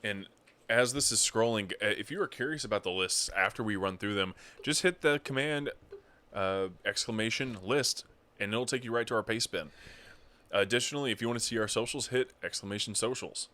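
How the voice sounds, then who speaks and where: Low bright-voiced male streamer. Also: fairly quick. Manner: relaxed, explains giveaway details with fillers. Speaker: stream co-host. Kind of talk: casual livestream chat.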